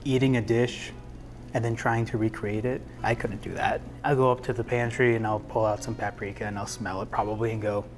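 A man's voice: on the low side, level tone, brisk, soft background music. Young male food show host, friendly. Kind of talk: casual interview chat. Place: restaurant dining room indoors.

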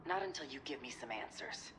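An actress playing a guarded, determined young soldier in a video game, terse and military. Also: female voice, very deep voice, expressive, brisk, noisy background. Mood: insistent.

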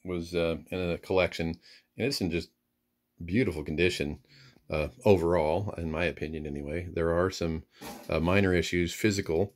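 A man giving a casual, unscripted demonstration of gear in an easygoing, somewhat hesitant way. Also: low voice. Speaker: presenter demonstrating vintage radio gear. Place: indoors, radio workbench.

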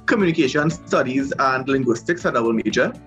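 A man's voice: medium pitch, animated, quick pace, a bit bright, muffled recording, faint music behind. A man in his twenties with a Trinidadian accent, a student casually introducing himself on a video call. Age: 20s. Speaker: university student guest. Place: indoor room, video call.

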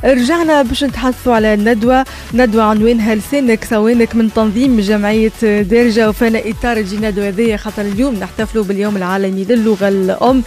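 Fast-talking Tunisian woman radio host. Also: on the high side, fairly even intonation, darkish tone, faint music behind. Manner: quick, chatty questioning with many fillers. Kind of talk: radio interview. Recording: dry studio mic.

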